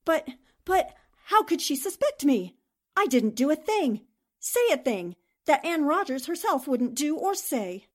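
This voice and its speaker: Woman acting an anxious, high-pitched countess spy. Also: big pitch swings. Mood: defensive. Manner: halting, pleading, theatrical. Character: anxious, defensive countess, a Nazi spy impostor. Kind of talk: 1940s radio drama acting.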